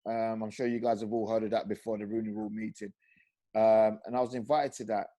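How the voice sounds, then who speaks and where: A man holds forth on a video-call panel. His voice is mellow and dark in tone. He grows more impassioned as he goes, pushing hard on key words. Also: fairly low pitch, level tone, brisk. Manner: impassioned, rising to loud emphatic peaks. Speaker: panel guest, former professional footballer. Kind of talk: passionate panel discussion.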